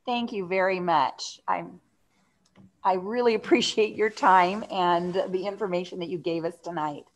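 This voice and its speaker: A woman's voice: on the low side, fairly even intonation, moderate pace. A woman chairing a school board meeting, thanking a guest and moving to the next item.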